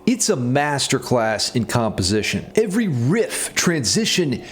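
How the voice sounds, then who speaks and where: A man's voice: mid-range voice, very animated, measured pace, slight background noise. A man hosting a music theory lesson, explaining in a playfully theatrical, tongue-in-cheek way. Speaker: music theory teacher and video host. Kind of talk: guitar music theory lesson with scripted dramatic intro then casual explanation.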